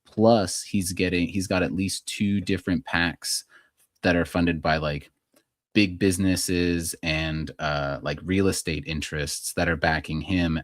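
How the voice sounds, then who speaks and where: American guy podcast cohost, lowish voice, dry close sound, casual opinionated chat, says like a lot. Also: fairly even intonation, a little slow, no room sound. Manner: informal, opinionated, peppered with "like". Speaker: podcast co-host.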